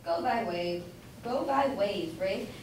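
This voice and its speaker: Young woman playing a blustering citizen, swooping pitch. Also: animated, takes it slowly, a little mellow. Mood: hearty approval. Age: young adult. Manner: blustery, interjecting. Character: blustering, opinionated citizen in the audience. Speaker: student actor. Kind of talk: staged reading of Jacobean comedy. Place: indoor room, far from the mic. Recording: distant, very echoey room, faint noise.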